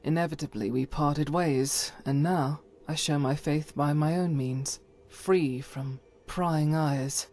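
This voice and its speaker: Woman acting a low-voiced, solemn holy warrior. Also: fairly even intonation, a little mellow. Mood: reflective, earnest. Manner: solemn, formal, measured archaic phrasing. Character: devout stern holy-warrior woman, sworn to her faith. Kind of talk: scripted video game character dialogue.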